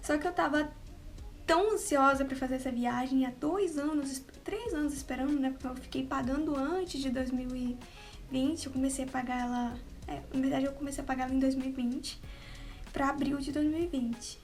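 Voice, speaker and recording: High-voiced chatty woman vlogger. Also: fairly even intonation, a little fast, faint music behind. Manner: chatty, laughs often, trails off with "né". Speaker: travel vlogger. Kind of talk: unscripted casual storytelling. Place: at home, with cats nearby.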